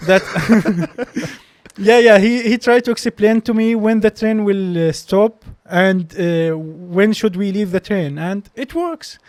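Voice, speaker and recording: Palestinian-accented young man, high voice, dry close sound, hesitant storyteller. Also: takes it slowly, a little mellow, no room sound. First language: Arabic. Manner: hesitant, many restarts and fillers, chuckles while telling stories. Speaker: podcast guest, doctor. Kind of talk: casual interview storytelling.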